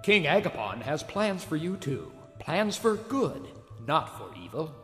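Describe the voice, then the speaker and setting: Man acting a dramatic, animated storyteller. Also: a little high, unhurried, in a large hall, faint music behind. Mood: reassuring, grand. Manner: grand, theatrical. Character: dramatic male storyteller, ominous then heroic. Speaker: narrator. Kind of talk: scripted audio drama narration.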